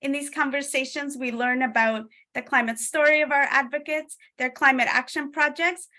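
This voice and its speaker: Interview host, woman, high bright voice, polite and welcoming. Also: fairly even intonation, normal speed. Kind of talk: scripted interview introduction.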